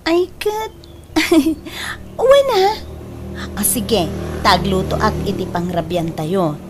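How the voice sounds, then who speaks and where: An actress with an Ilocano accent playing a coy, teasing young woman in a radio drama, with playful, drawn-out delivery. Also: medium pitch, animated, music in the background.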